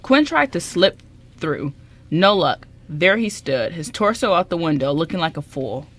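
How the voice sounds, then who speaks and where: A woman's voice: lowish voice, big pitch swings, measured pace, husky. A woman reading scripted story narration as the narrator of a radio drama.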